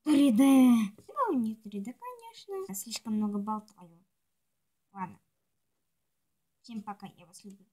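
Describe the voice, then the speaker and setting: Woman doing a rambly casual vlog, highish kinda raspy voice, chewing gum while she talks. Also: sing-song, a little slow, long pauses, dark tone. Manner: rambling, talking to herself and viewers. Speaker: video blogger. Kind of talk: casual chatty nail polish show-and-tell.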